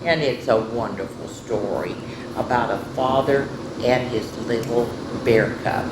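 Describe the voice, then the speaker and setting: A woman's voice: a bass voice, measured pace. A woman hosting children's story time, reading picture books expressively and talking directly to young listeners with casual asides. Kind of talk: picture-book read-aloud with casual asides. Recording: roomy echo, faint background noise.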